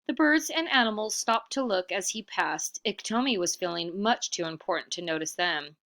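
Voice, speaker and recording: A woman reading a children's picture book aloud expressively, pausing for casual comments and questions. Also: medium pitch, expressive, fairly bright tone. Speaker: storybook reader.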